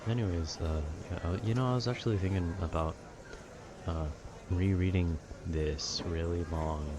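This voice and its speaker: A young man giving a calm, informal, wry rambling monologue about video games. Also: low voice, moderate pace, noisy background. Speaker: video creator.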